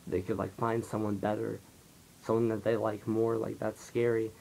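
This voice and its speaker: A low, flat-voiced teenage guy with a raspy, dry-throated sound, rambling softly through a personal vlog. He leans on lots of fillers as he opens up to the camera. A faint rumble sits underneath. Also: normal speed, low-quality recording. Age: late teens. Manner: soft-spoken, rambling, heavy on fillers. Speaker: vlogger. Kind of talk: confessional casual monologue.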